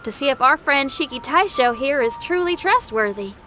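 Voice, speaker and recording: A streetwise young rock musician from a fantasy game. The voice is high, confident and casual, bold and down-to-earth, with music clearly behind it. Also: female voice, lively intonation, moderate pace, bright, forceful tone. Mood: challenging. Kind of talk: English video game voice acting.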